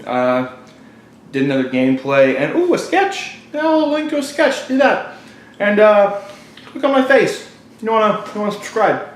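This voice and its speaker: A man with a somewhat high voice speaks off the cuff as a podcast host. He is halting, offhand and jokey, and the room rings with echo. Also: sing-song, slight background noise. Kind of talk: improvised casual sign-off to viewers. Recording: echoey room.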